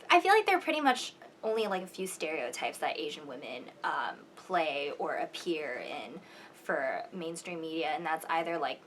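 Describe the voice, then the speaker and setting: A young woman student talking casually in an interview, halting and saying "like" often. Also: low voice, big pitch swings. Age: young adult. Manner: halting, frequent "like". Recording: faint background room noise.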